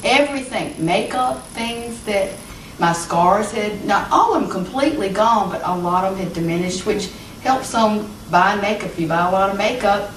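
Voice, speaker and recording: A woman's voice: mid-range voice, expressive, moderate pace, far from the mic. A woman in her fifties answering interview questions as a patient, talking conversationally with hesitant fillers. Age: 50s. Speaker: patient giving a testimonial. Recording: echoey room, voice from off-mic, background noise.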